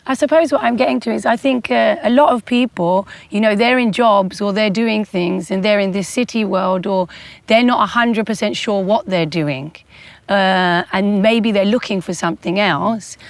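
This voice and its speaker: A woman's voice: mid-range voice, moderate pace, creaky, dry recording. A woman interviewing in a conversational way, with informal, roundabout phrasing as she leads into her questions. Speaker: interviewer, host.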